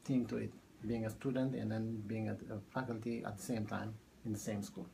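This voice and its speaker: Ethiopia-born guy in his 30s, gravelly low voice, lots of uh and um, slight noise. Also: little pitch movement. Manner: halting, many fillers and restarts. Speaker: math teacher. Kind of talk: oral history interview.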